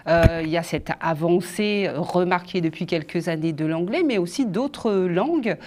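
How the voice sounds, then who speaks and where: Lower-voiced female TV interviewer. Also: moderate pace, darkish tone, slight background noise. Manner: hesitant, frequent fillers. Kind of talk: TV interview questions.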